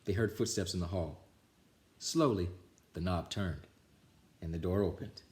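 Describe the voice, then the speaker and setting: A man's voice: low-pitched, a little slow, darkish tone, slight background noise. A man reading a children's picture book aloud as a storytime reader, expressively, with small stumbles.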